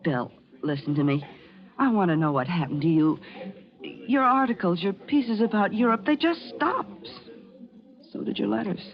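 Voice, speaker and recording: An actress playing a brash, quick-witted American press photographer, skeptical and pointed, in 1940s radio drama style. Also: female voice, medium pitch, very animated. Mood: searching, wounded. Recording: dull vintage radio recording with music.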